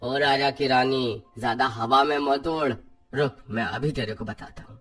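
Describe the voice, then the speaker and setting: A man's voice: mid-range voice, steady tone, normal speed, fairly bright tone, close to an everyday voice. A man voicing a drunken, bullying young husband in a cartoon story, harsh, scolding and contemptuous. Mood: aggressive, demanding.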